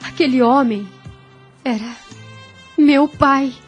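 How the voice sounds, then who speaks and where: A gentle, self-sacrificing young heroine of a radio drama, played by a woman, with a fairly high voice and a Brazilian accent. She speaks tenderly and solemnly in formal phrases over faint music. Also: takes it slowly, lo-fi sound. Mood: earnest, sorrowful.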